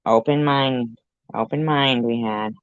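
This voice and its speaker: A woman's voice: extremely low pitch, slow pace, smooth, no room sound. A woman commentary host reacting to a clip in a blunt, sarcastic, profane way. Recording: dull lo-fi recording.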